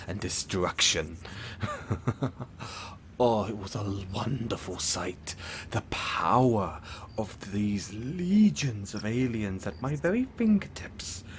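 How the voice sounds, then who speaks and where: A man's voice: fairly low pitch, a little hiss or hum. A man playing a theatrical, boastful supervillain who gets flustered and stammers, in an audio roleplay monologue. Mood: gleeful, savoring. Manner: pompous, stammering, dramatic swoops and villainous chuckles.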